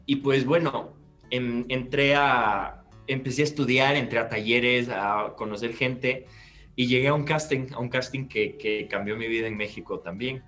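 A man, an actor recounting his career in an interview over a video call from a bright living room. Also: medium pitch, normal speed, soft background music. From Peru. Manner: animated, gestures while recounting, restarts phrases. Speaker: guest actor. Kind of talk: storytelling interview answer. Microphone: laptop webcam on video call.

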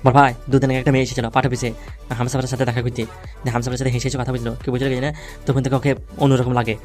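A man's voice: medium pitch, little pitch movement, very fast, soft background music, close to an everyday voice. A man playing a gangster's nagging henchman in an amateur comic cartoon dub. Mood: suspicious. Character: gangster's nagging adult henchman, informing his boss.

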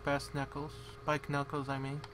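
A man's voice: a little high, monotone, normal speed, noisy background. A man giving casual, off-the-cuff video game commentary, muttering to himself in short fragments. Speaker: gamer, let's player.

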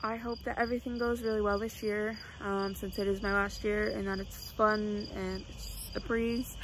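Hoarse teen girl vlogging on her phone. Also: mid-range voice, level tone, normal speed. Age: teens. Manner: chatty, laid-back, trailing off with ums. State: hoarse from laryngitis. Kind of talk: casual vlog narration. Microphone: phone at arm's length. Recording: phone audio with loud background noise.

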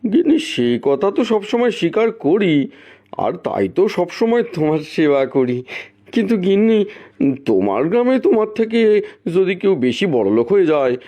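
High-pitched henpecked village landlord played by a man. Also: very animated, normal speed, dark tone. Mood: pompous, coaxing. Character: henpecked, fawning village landlord, self-important but servile. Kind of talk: Bengali cartoon folk tale voice acting.

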